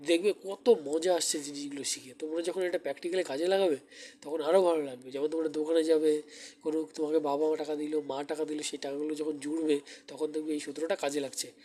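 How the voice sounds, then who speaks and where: A man with a somewhat high voice gives a primary school lesson. He talks directly to the children, puts simple questions to them and repeats key words as he explains. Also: flat delivery, quick pace, mellow. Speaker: maths teacher giving a demo class.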